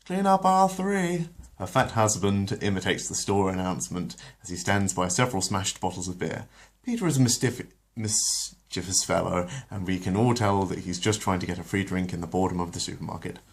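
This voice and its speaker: A man with a low voice reads a cartoon episode as a bedtime story. Between readings he rambles casually, correcting himself. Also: fairly even intonation, brisk. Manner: rambling with fillers, self-correcting. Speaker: channel host and story reader. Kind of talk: casual intro, then storybook narration.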